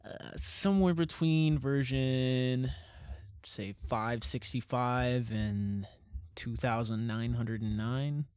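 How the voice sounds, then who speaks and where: A man's voice: mid-range voice, takes it slowly, darkish tone, clean tone, no echo, studio quality, natural voice. An actor playing a patient but wounded young man in an audio drama, talking in a natural, dryly wry and hesitant way. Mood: wry, casual.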